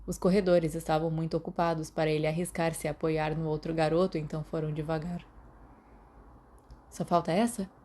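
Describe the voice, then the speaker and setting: Low, mellow Brazilian woman narrating. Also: level tone, fast, few pauses, slight background noise. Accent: Brazilian Portuguese. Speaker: podfic reader. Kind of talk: audiobook narration of fan fiction.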